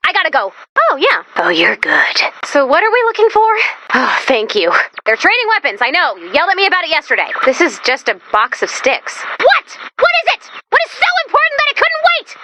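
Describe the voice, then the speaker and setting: Bright, high-pitched, neurotic robot sidekick. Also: female voice, huge pitch swings, brisk, heavily acted. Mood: frazzled. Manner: perky, neurotic, self-deprecating, dramatic. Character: excitable, anxious, sarcastic female robot helper. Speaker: video game mission-giving sidekick. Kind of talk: animated video game voice acting.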